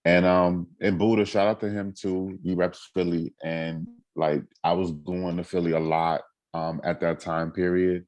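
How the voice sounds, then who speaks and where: A man who is a featured music artist, talking in a relaxed and grateful way, with plenty of fillers. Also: low voice, measured pace, no room sound. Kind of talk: casual video call chat.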